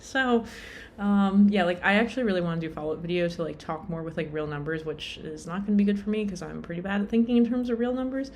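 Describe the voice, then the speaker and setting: A woman's voice: medium pitch, quick pace, hardly any pauses, darkish tone, slight background noise. A young adult woman vlogging in a casual, rambling way, full of fillers and self-deprecating asides, sounding tired.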